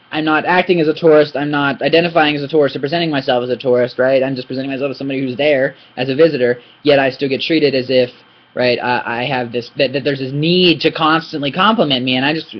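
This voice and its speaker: A young man giving a casual, animated language lesson from his couch, rambling with many restarts and asides, recorded on a laptop webcam. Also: a little high. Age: young adult. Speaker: Thai language teacher. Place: indoor living room, on a couch. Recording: dull lo-fi webcam audio.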